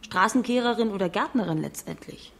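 Woman, medium pitch, documentary voice-over, expressive delivery. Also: brisk, creaky, heavy background noise. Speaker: voice-over translator.

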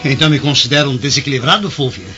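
A man's voice: medium pitch, rapid-fire, lightly acted. A man playing a proud, bitter Roman husband and father in a radio drama, with emphatic, declamatory delivery. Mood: bitter anger. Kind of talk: Brazilian radio drama acting. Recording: muffled band-limited audio, faint music behind.

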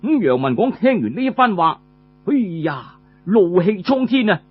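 A man's voice: high voice, very animated, normal speed, slightly rough, no room sound, phone-quality sound, soft background music. A man with a Cantonese accent telling a traditional tale, conversational and dramatic, drawing out his particles. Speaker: storyteller. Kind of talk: animated traditional storytelling narration.

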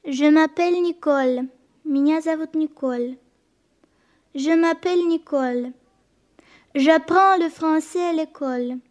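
A woman playing a polite, eager young schoolgirl in a scripted bilingual radio language lesson, speaking carefully and clearly. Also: sits high, slow, pauses often, darkish tone. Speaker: pupil character in language programme.

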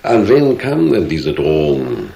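Deep-voiced, probing police detective. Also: male voice, sing-song, creaky, mellow, heavily acted. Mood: probing, dry. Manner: measured, dry, insistent questioning. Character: probing, dry, businesslike male police detective. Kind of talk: German radio drama acting.

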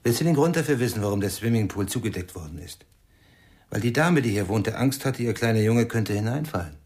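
Actor as a sardonic, impatient husband. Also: male voice, on the low side, lively intonation, fairly quick, darkish tone, close to an everyday voice. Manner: teasing, needling. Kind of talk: German radio play acting.

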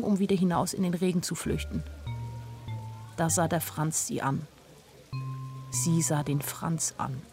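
A woman's voice: lowish voice, dark tone. An actress playing a sensitive young woman who tells her own story in an intimate, literary radio drama narration. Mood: wistful. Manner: literary, intimate first-person telling.

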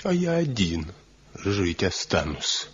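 A bitter, haggard master craftsman in an old radio drama, sullen and sarcastic. His very deep, husky voice drags slowly yet swings widely in pitch. The recording sounds dull and lo-fi. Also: very animated, heavily acted. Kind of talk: 1970s radio play acting.

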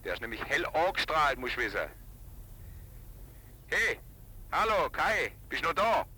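A man's voice: on the high side, very animated, a little slow, husky, heavily acted. An actor playing a flippant, chatty brother on a late phone call in a radio drama, teasing as he talks. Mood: breezy, teasing. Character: breezy, teasing brother phoning late from abroad. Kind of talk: 1980s radio drama acting. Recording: sharp sound with faint hiss.

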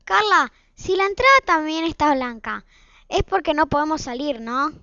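Woman as high, bright schoolchild. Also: expressive, moderate pace, no room sound, heavily acted. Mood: insistent. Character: schoolchild, elder sibling in the family. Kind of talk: amateur radio play acting. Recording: dull, dry, lo-fi recording.

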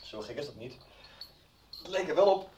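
An actor playing an easygoing, helpful friend in a conversational radio soap. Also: male voice, a little high, expressive, normal speed, a little mellow, natural voice. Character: easygoing, helpful male friend living in Germany. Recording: faintly noisy 1990s radio recording.

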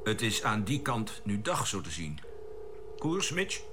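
An actor playing a decisive, responsible spaceship captain in a Dutch science-fiction radio drama, giving terse commands. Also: male voice, on the low side, very animated, a little slow, over loud music. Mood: calm, inquiring. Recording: noisy old radio drama recording.

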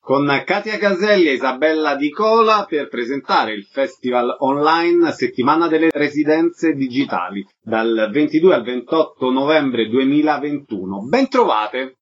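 Male radio interviewer, highish pitch, presenting guests; slightly dull audio with clicks. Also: animated, moderate pace, few pauses, breathy edge, soft background music.

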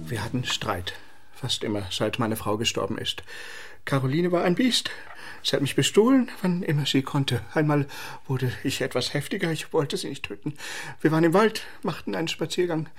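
A man's voice: fairly high pitch, big pitch swings, normal speed. An actor playing an anxious, ageing father in a radio play, stammering and repeating himself as he talks. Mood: resigned, confessing. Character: flustered, anxious ageing father hiding guilt.

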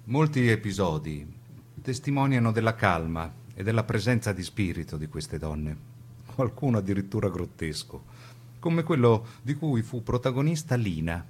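Low-pitched male narrator, theatrical phrasing. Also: darkish tone, a little hiss or hum. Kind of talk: scripted dramatic documentary narration.

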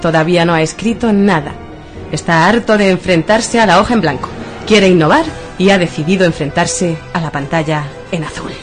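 A woman's voice: on the low side, a little fast. Woman narrating a comic story, wry delivery. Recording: music behind the voice.

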